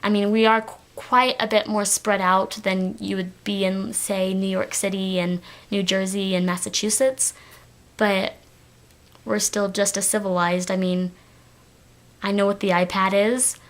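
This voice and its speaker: A woman's voice: medium pitch, flat delivery, a little slow. Teenage girl with a light Texan accent. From Texas, United States. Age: teens. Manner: chatty, rambling, many fillers and restarts. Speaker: vlogger. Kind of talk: casual talk to camera.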